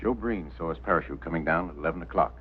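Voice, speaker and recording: A man's voice: sits low, quick pace, close to an everyday voice. An actor playing a grave, matter-of-fact male doctor in a vintage American film drama. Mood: grave, firm. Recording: tinny old film soundtrack, faint noise.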